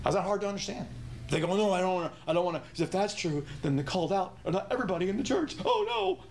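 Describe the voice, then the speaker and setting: A man's voice: high voice, big pitch swings, quick pace, vocal fry, slight background noise. A middle-aged man teaching a Bible study in a small room, emphatic, firing rhetorical questions and restarting his sentences. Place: small indoor room with whiteboard.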